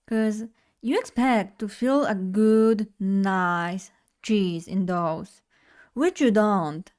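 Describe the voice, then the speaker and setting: A woman's voice: mid-range voice, extremely slow, darkish tone, smooth. Bubbly young woman streamer. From Poland. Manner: bubbly, chatty, addresses chat directly. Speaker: vtuber streamer. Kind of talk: casual live stream chat.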